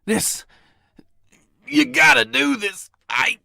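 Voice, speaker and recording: A man voicing a sick, dying, grieving survivor in a comic dub, weak and halting. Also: very high-pitched, lively intonation, gravelly, pushed and bright, dry recording, clean studio sound, exaggerated voice. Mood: pleading. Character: Jim, dying bitten man, pleading, grieving.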